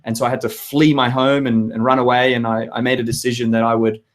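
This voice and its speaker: An Australian man in his thirties with a hoarse voice, hosting a live web show and chatting with viewers in an upbeat, rambling way. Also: medium pitch, little pitch movement, fast. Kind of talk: live webinar chat to viewers.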